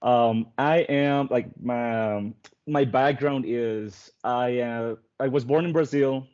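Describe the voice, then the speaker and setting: A man's voice: mid-range voice, takes it slowly, darkish tone. Earnest young man, hesitant. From Venezuela. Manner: earnest, hesitant, many ums. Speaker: guest writer. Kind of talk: casual chat. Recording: dull lo-fi sound.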